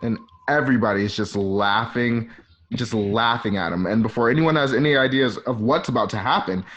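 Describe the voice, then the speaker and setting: A man's voice: medium pitch, fairly quick, a bit bright. A man narrating scripted stage directions for a radio drama.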